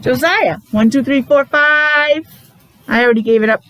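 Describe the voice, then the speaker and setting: A woman's voice: high-pitched, normal speed, a bit bright, poor audio. An American woman teaching young children, talking in a sing-song way with simple repeated phrases and coaxing questions. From New England, USA. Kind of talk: interactive preschool lesson for young children.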